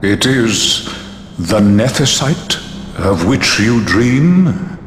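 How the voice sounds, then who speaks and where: An actor playing an ancient, serene non-human seer in a fantasy game, speaking solemnly with archaic, oracular phrasing. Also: male voice, on the low side, sing-song, drawn-out words, a little hiss or hum, exaggerated voice. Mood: stern, knowing. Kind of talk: dramatic video game voice acting.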